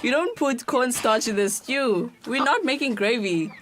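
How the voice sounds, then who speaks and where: A woman's voice: a little high, lively intonation, measured pace, fairly bright tone, a little hiss or hum. An actress playing a cheerful, proud, teasing schoolgirl in a radio drama, with a South African accent.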